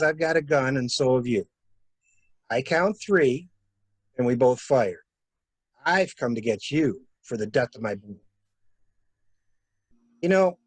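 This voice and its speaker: A man playing a calculating murderer who poses as a helpful investigator, musing theatrically in measured phrases in an amateur mystery play. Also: a little high, dry recording. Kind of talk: amateur radio-play style mystery acting.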